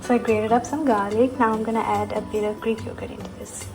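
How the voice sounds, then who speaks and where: A woman from Sri Lanka talks in a casual vlog style. Her voice sits a bit high, and she explains as she goes in an informal way. Prominent music plays behind her speech. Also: steady tone, fast. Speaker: food vlogger. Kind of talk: casual vlog chat and cooking narration.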